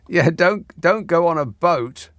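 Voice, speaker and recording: A man hosts a late-night radio phone-in and reads listeners' texts aloud. His delivery is dry and deadpan, and his sarcastic asides come close on a bone-dry studio mic. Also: a little high, dry recording. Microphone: studio microphone.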